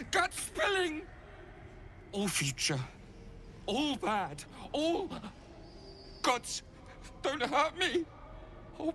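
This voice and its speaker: Shrill, terrified captive. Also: female voice, bright, forceful tone, a little hiss or hum, exaggerated voice. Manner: frantic, pleading, wailing. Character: terrified captive tormented by visions of doom. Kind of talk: dramatic video game acting.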